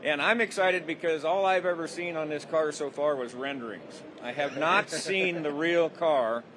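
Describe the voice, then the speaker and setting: Man, friendly, easygoing, slightly high voice, noisy show floor behind. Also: moderate pace.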